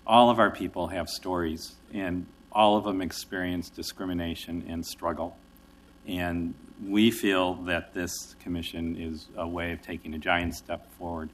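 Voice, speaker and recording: A man with a very low voice addresses a city council on behalf of his organization. His delivery is friendly and conversational, with occasional restarts. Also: flat delivery, unhurried. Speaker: nonprofit executive director. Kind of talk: public testimony at a city council. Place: council chamber.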